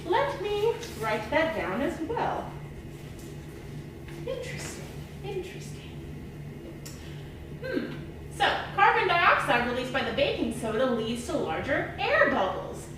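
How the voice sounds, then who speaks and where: An excitable old scientist puppet, voiced by a woman, swoops about in a high voice. The puppet mulls things over aloud and exclaims at discoveries for young viewers. It sounds distant, in a very echoey, noisy room. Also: measured pace, long pauses. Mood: excited explaining. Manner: musing, repeats words, exclaims, thinks aloud. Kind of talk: children's educational puppet show.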